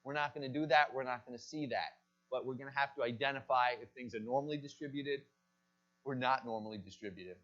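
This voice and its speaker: A man lecturing a pharmacy class, speaking at an ordinary middle pitch. He poses questions to the room and supplies the answers himself. The lecture capture sounds dull and lo-fi. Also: quick pace, fairly bright tone.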